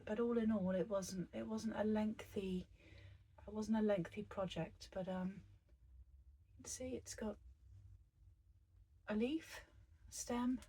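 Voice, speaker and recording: A woman with a croaky, husky voice after a recent virus, talking viewers through an embroidery project. Her tone is mellow and dark, and her pitch is ordinary. She speaks in a chatty, meandering way, full of fillers and restarts. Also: steady tone, slow pace, pauses often. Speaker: embroidery teacher. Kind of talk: craft tutorial narration.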